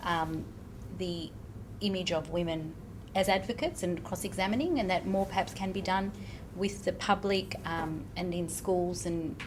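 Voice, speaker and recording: A woman barrister talking in a lively, animated way on a panel. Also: lowish voice, a little slow, hardly any pauses. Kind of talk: panel discussion. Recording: noticeable background hiss.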